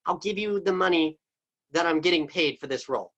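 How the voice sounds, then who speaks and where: A man's voice: a little high, fairly quick, a bit bright, highs cut off. A man voicing a scheming wolf villain who talks casually and hesitantly. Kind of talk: amateur radio play acting.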